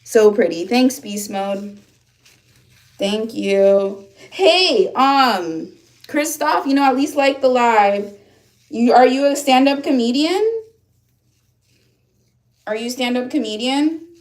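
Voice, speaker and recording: A woman's voice: a little high, expressive, slow, long pauses, a little mellow. A woman in her thirties from upstate New York, hosting a casual livestream and chatting with viewers in the comments, rambling with lots of fillers and restarts. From upstate New York, USA. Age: 30s. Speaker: livestream host. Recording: echoey room.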